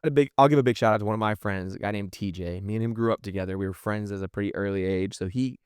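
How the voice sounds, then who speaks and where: A man's voice: lowish voice, fast, clean tone, dry recording, studio quality. A man chatting casually as a podcast guest, earnest and encouraging in his manner.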